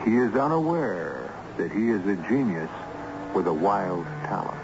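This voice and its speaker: A man hosting and narrating an old-time radio mystery with measured, ominous, theatrical delivery. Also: fairly low pitch, very animated. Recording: muffled, noisy old radio recording.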